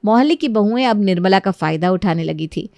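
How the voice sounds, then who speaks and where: A woman's voice: medium pitch, lively intonation, a little fast, vocal fry, mellow, no room sound. A woman narrating a scripted moral story as its storyteller.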